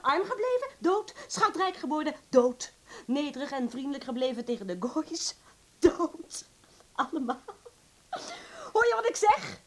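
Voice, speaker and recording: Bitter frantic woman in hiding, sarcastic n raw, high voice all over the place, radio play. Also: big pitch swings, a little slow. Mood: hysterical despair. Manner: sarcastic, emotionally raw, coarse-tongued. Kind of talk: radio drama acting.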